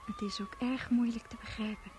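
An actress playing a gentle, withdrawn, curious young woman, speaking simply and intimately in a radio play. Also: on the high side, steady tone, brisk, background noise, lightly acted. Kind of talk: Dutch radio play acting.

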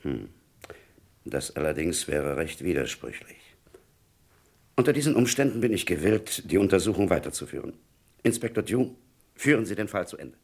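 A senior police chief in a vintage radio mystery. The voice is extremely deep and rough with creak. He talks in a deliberate, formal, commanding way. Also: very animated, a little mellow, heavily acted. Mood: measured, doubting, then decisive. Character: authoritative, measured senior police chief, a superior officer. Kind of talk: German radio crime play acting of the 1950s-60s.

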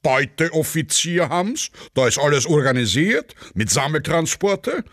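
A man's voice: a little high, big pitch swings, a little slow, fairly bright tone, dry recording, studio quality, pitched above the performer's own voice, full character voice. A man playing a greedy Austrian general in a satirical radio play, grumbling and self-satisfied in colloquial speech.

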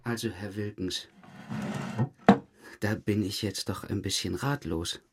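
A low male voice plays a police detective in a radio drama. He questions in a measured, insistent way, calm and dryly probing. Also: loud music behind the voice. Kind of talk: radio drama interrogation dialogue.

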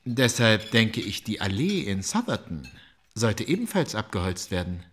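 Pompous, animated country landowner. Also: male voice, on the low side, moderate pace, slight background noise. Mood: self-satisfied. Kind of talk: radio drama acting.

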